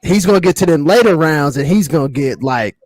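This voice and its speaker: American man, fairly high pitch, casual podcast panelist, conversational, dry close recording. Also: expressive, fairly quick, a little mellow, no room sound. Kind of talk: casual hip-hop panel chat.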